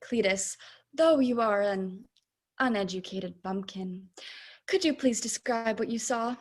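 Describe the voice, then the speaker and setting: An earnest on-scene news reporter acted by a young woman announces in a slightly high voice. The delivery is formal and dramatic, in the style of a comic radio play. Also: unhurried. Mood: serious. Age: late teens. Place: home room, on a video call.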